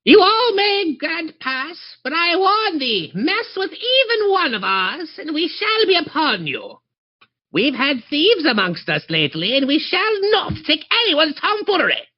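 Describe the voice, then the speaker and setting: A stern, officious gate guard in a fantasy tabletop game, played by a woman, with a high, swooping voice. The speech is formal and mock-archaic, full of self-importance. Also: big pitch swings, a little slow, dull audio. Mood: stern warning. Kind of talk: acting a character in a tabletop game.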